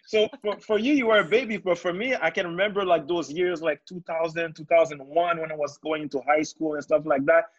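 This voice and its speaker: A man's voice: a little high, brisk, few pauses. A man in his thirties who did not grow up speaking English, reflecting in a casual video-call discussion with many fillers. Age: 30s. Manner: reflective, loose with fillers and repeats. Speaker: panelist. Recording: dull, dry video-call audio.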